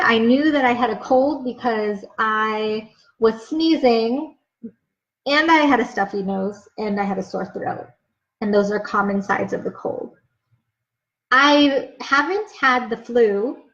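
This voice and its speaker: A young woman teaching an English lesson, explaining words patiently and checking in with "okay?" after each point. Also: on the high side. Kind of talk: slow, clear ESL lesson explanation. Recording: slightly dull, lo-fi sound.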